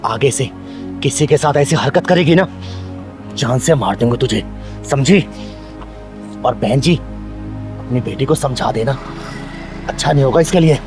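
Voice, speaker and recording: A man's voice: mid-range voice, measured pace, pauses often, over music, close to an everyday voice. A man playing a fierce, protective transgender woman who scolds and threatens wrongdoers in a short moral drama. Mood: commanding. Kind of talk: Hindi moral short-film acting.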